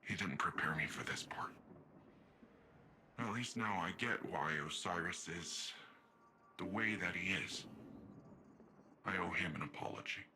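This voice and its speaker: A man acting a young cyborg hero in a video game cutscene, earnest and open-hearted, speaking informally and haltingly as if confiding his grief. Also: low-pitched, background noise. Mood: subdued, grateful. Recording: dramatic music behind the voice.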